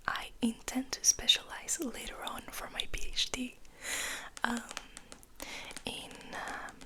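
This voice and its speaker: A young woman whispering softly and gently in an ASMR style, reading and musing in a hesitant way. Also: fairly high pitch, rough recording. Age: young adult. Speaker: ASMR host, literature student.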